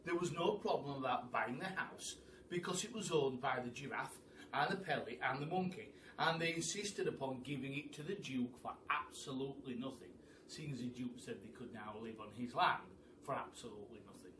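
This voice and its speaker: A man reading a children's story aloud as a storyteller, in an animated way that speaks straight to his listeners. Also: mid-range voice, a little fast. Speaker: teacher storyteller. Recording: echoey room, distant mic, faint noise.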